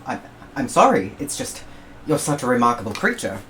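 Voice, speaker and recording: A woman with a low voice voicing George, a fussy, prying English colonist scientist, in a kids' story. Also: big pitch swings, a little fast, fairly bright tone, a little hiss or hum, heavily acted. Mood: eager.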